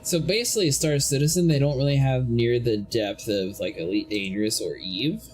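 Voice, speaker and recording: A man's voice: mid-range voice, normal speed, a little mellow. A man hosting a livestream, chatting and explaining the game to his viewers. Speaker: streamer host. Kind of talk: casual livestream chat. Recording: livestream mic over game music.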